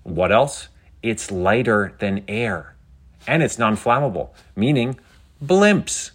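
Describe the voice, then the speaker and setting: An excitable schoolgirl livestreamer played by a man, bold and geeky. The voice is lifted high and chatty, addressing an online following in a children's adventure story. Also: takes it slowly, breathy edge, heavily acted. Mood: chatty, teasing. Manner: bubbly, chatty, addressing viewers. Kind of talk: scripted children's audio story.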